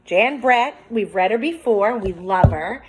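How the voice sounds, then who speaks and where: A woman with a medium-pitched voice talks like a teacher leading a read-aloud. She explains as she goes in an instructive way, addressing her listeners like a class of children. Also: expressive, unhurried, background noise. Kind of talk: picture-book read-aloud with commentary and questions to children.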